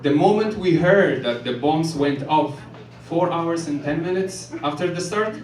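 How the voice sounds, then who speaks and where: A man from Ethiopia performing a stand-up set in a comedy club, delivering jokes deadpan and chuckling at his own lines. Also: a little high, unhurried. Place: comedy club stage with live audience. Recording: echoey club room, from far off, loud noise.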